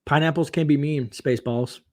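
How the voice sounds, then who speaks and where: Chatty male livestream host, slightly hoarse. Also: on the high side, fairly even intonation, fairly quick, darkish tone. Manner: chatty, reads viewer comments aloud, frequent fillers and laughs. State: voice getting hoarse. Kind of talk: casual late-night livestream chat, reading chat aloud.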